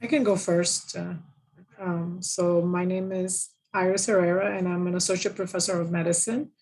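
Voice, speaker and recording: A woman's voice: fairly low pitch, little pitch movement, normal speed, darkish tone, low-quality recording. A woman in her fifties from the Dominican Republic, a physician and professor, introducing herself plainly on a panel. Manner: plain, matter-of-fact with fillers.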